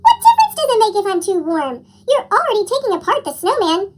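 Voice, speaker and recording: A narrator voicing an earnest, curious young boy in a storybook, with a squeaky chipmunk voice effect. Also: wildly swooping pitch, fast, exaggerated voice. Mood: outraged, insistent. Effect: chipmunk voice pitch-up effect.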